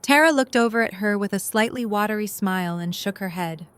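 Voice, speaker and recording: A woman's voice: medium pitch, fairly quick, smooth, no echo. A woman reading scripted story narration as the narrator of an audio drama.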